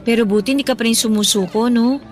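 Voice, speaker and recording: A woman playing a caring, supportive young wife in a radio drama, speaking gently and conversationally. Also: fairly high pitch, fast talker, soft background music, lightly acted. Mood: gentle, reassuring. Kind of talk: Tagalog radio drama dialogue.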